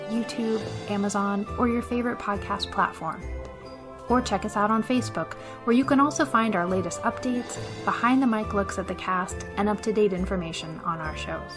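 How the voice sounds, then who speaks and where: A woman giving scripted narration as host and storyteller of a radio theater show. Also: mid-range voice. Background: music.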